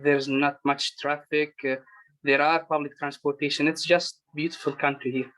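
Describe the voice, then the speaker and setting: A man from Lebanon, a first-year medical student guest, talking hesitantly with many pauses over a video call. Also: fairly high pitch, flat delivery, a bit bright, poor audio. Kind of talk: casual chat.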